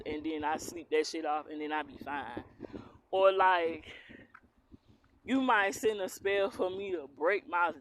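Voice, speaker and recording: A young woman with a strong Southern accent vents straight to camera, blunt and defiant, cursing freely. She reads tarot and talks like she has something to prove. Clear background noise runs under her voice. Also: lowish voice. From Philadelphia, raised in the US South. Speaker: tarot reader. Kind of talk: casual to-camera rant.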